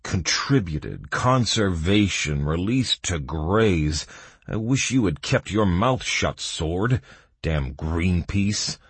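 A man voicing a weary, sarcastic prisoner with a deep growl, grumbling scornfully in a fantasy audiobook. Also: animated, slow, breathy edge, dry recording, exaggerated voice. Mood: disgusted, sarcastic.

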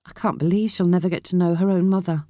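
A woman voicing a gentle, worried older mother, a soft-hearted and placating grandmother in an audiobook. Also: fairly low pitch, fairly even intonation, fast talker, dry recording, clean studio sound, lightly acted. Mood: gentle, sad.

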